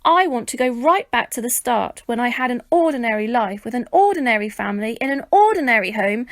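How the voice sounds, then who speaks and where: A woman's voice: a little high, no room sound, natural voice. A woman voicing a timid, ordinary young boy, anxious and earnest, in a children's storybook.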